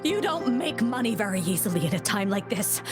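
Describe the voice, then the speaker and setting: An actress voicing a desperate, hungry refugee turned thief in a game, slangy and pleading. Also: female voice, medium pitch, very animated, fairly quick, close to an everyday voice. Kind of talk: video game voice acting. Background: music.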